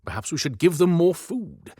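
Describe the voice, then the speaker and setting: A man voicing a stern older male leader, gruff and authoritative, in a cinematic audiobook. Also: a little high, big pitch swings, normal speed, mellow, dry recording, studio quality, pitched above the performer's own voice. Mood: measured, thoughtful.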